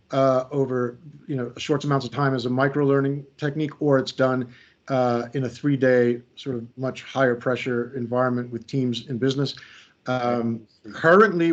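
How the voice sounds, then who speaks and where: American guy, middle-aged, walking folks through slides on a webinar, chatty and jokey, audio kinda muffled. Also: mid-range voice. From United States. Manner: conversational, lightly joking about himself. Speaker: creativity coach presenting a webinar. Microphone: video call. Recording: slightly muffled video call audio.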